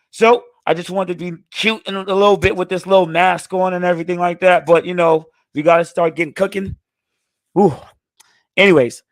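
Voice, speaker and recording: A man in his thirties hosting a casual live stream Q&A, talking in a laid-back, conversational way, his voice a bit hoarse as he gets it back. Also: a little high, dry recording, clean studio sound. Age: 30s. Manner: laid-back, conversational, frequent "you know what I'm saying". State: hoarse, recovering lost voice. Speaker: channel host answering live chat.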